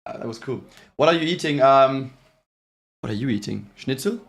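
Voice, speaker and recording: A man's voice: medium pitch, expressive, normal speed, vocal fry. A man with a German accent hosting a live football reaction stream, chatting casually and reading out viewer messages with many restarts. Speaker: livestream host reacting to a match.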